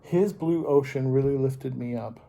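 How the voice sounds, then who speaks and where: A man's voice: medium pitch, a little slow, vocal fry, warm tone. A man voicing proud, admiring crayon characters in a children's storybook, with emphatic praise. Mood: admiring, gushing. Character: chorus of admiring crayon family and friends, proudly gushing.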